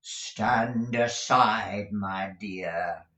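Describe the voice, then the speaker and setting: A man's voice: fairly low pitch, very slow, sharp tone, echoey room, exaggerated voice. A man voicing a cruel, savage schoolmaster who shrieks and snarls his outbursts.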